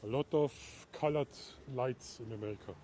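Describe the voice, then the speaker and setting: A middle-aged man from Bavaria with a German accent, chatting casually while walking outdoors and talking to viewers in a live video, moving between German and halting English. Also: medium pitch, mellow, a little hiss or hum. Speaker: livestream vlogger. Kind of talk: casual live walk-and-talk. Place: outdoors in a town at night.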